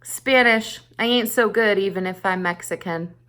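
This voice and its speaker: A woman's voice: medium pitch, normal speed, bright, forceful tone, close to an everyday voice. A cocky seventh-grade boy with a practiced scowl, read out by a woman. He is vain and talks in casual slang, as a storybook character.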